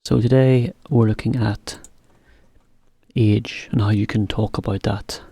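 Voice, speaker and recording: Man, English teacher, slow and even delivery, gravelly low voice. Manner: slow, plain explanations with example sentences. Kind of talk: slow beginner English lesson.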